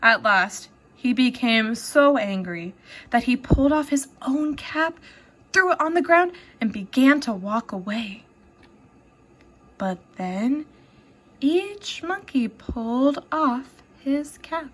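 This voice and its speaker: Lady teacher doing a kids read aloud, really slow and expressive, voice a bit high. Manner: expressive, deliberate, pausing to ask children questions. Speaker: elementary teacher reading aloud. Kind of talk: children's storybook read-aloud.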